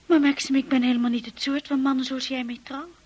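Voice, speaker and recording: A woman's voice: sits high, little pitch movement, quick pace, darkish tone, breathy edge, highs cut off. An actress playing a shy, earnest young lady's companion in a Dutch radio drama, hesitant and emotional in delivery. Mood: bewildered, unsure.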